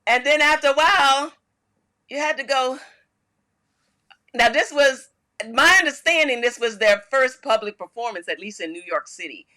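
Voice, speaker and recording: An older woman co-hosting a casual chat, telling stories in a loud voice and laughing often. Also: high voice, animated, normal speed, long pauses. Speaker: podcast co-host.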